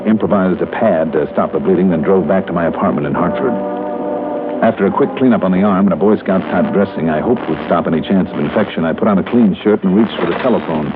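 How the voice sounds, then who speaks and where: Low-voiced hard-boiled radio detective played by an actor. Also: male voice, fast, loud music behind the voice, close to an everyday voice. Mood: hard-boiled narrating. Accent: American. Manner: clipped, sardonic, hard-boiled. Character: tough, wry private investigator narrating his case. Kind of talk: old-time radio drama acting. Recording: noisy, narrow-band vintage radio recording.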